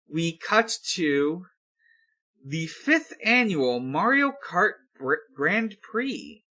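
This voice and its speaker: Slow, halting man recapping casually. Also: on the high side, pushed and bright. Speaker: podcast host.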